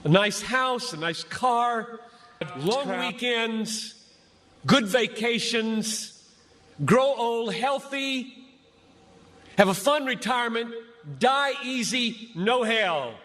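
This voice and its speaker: A man preaching an impassioned sermon to a crowd as a pastor, speaking emphatically and deliberately with dramatic pauses between short phrases. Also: very high-pitched, animated, fairly bright tone. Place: large gathering before a crowd. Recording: echoey large venue, long reverb.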